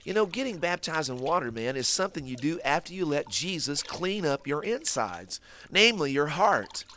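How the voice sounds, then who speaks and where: A man's voice: on the high side, big pitch swings, normal speed, pushed and bright, a little hiss or hum. A man hosting a kids' ministry skit, stammering and repeating words while he patiently explains things. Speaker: children's ministry host. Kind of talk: scripted comic skit for kids.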